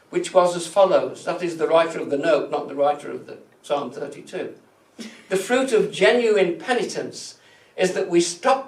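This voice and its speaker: A male church minister gives a thoughtful sermon in a fairly high voice. He speaks deliberately, leaning on key words and letting phrase endings drop away softly. The sound is very echoey and somewhat distant from the microphone. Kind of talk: reflective sermon from prepared notes.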